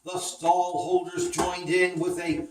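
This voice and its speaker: A woman's voice: lowish voice, flat delivery, slow pace, a little mellow. A woman storyteller reading aloud a children's story. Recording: echoey room, distant mic, faint noise.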